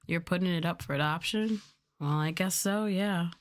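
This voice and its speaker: A woman chatting softly as an ASMR livestream host, sometimes trailing off toward a whisper. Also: on the low side, moderate pace. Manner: softly spoken, now and then trailing into whispers. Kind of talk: soft-spoken ASMR livestream chat.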